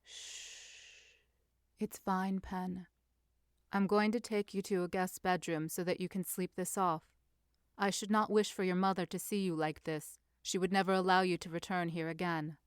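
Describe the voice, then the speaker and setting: Woman as a gentle, protective young gentleman. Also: lowish voice, steady tone, normal speed, clear, resonant tone, dry recording, studio quality, lightly acted. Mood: soothing. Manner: soft, reassuring.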